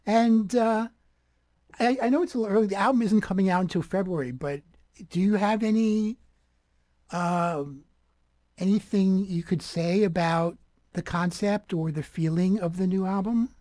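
Mellow-voiced woman radio host. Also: medium pitch, moderate pace, dry recording. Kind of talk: radio interview.

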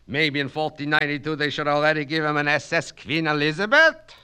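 A man voicing a sarcastic middle-aged male student with a quick jab. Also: a little high, pushed and bright, clear, resonant tone, voice pushed up.

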